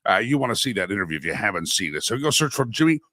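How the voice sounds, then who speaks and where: A man's voice: fairly low pitch, sing-song, rapid-fire, bright, forceful tone, no room sound, studio quality. A man hosting a casual political talk show, stuffy and a little sore from a head cold, talking in a folksy, chatty way with many asides. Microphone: studio microphone.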